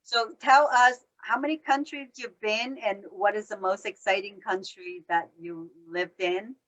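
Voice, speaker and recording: A woman's voice: medium pitch, steady tone, measured pace, fairly bright tone, low-quality recording. A woman hosting an interview, speaking English as a with grammar and asking friendly questions. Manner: non-native grammar, friendly prompting questions. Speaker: podcast host. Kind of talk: casual interview chat.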